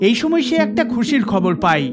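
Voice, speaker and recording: A man's voice: very high voice, very animated, fairly quick. A man narrating a scripted documentary in a dramatic storytelling manner. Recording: loud music behind the voice. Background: loud background music.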